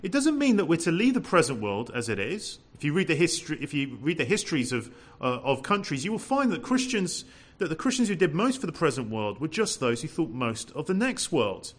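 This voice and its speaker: Lively male preacher. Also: fairly high pitch, a little fast, few pauses. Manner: lively, animated preaching with rhetorical questions; says he has a loud voice. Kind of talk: sermon.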